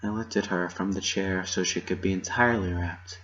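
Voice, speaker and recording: A man's voice: sits low, steady tone, moderate pace, fairly bright tone, muffled recording. A man giving a dramatic reading of a story, narrating in the first person. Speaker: amateur fan fiction reader.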